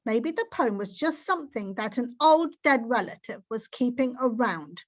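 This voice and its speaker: High-pitched woman reading a children's story. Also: lively intonation, normal speed, dull audio.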